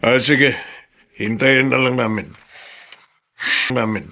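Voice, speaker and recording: A mayor's driver and bodyguard in a Filipino radio serial. His voice is medium in pitch, courteous and matter-of-fact. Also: steady tone, takes it slowly, pushed and bright. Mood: courteous, apologetic. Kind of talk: Filipino radio drama acting.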